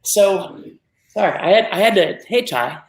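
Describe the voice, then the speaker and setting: A man's voice: sits high, animated, moderate pace, roomy sound, rough recording. A man co-hosting a casual chat show, chatty and joking at his own expense. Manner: chatty, self-deprecating, joking. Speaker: co-host.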